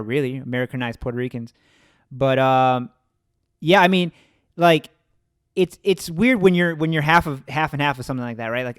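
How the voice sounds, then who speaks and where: A man in his thirties with a Chicago accent, hosting a casual podcast conversation, animated, laughing often and restarting his sentences. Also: mid-range voice, clear, resonant tone, no echo, studio quality. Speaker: podcast host.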